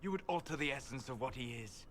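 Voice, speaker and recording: Game role: male actor as learned mage, formal, measured, didactic, mid-pitched, clear noise behind. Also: expressive, natural voice. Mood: stern, disapproving.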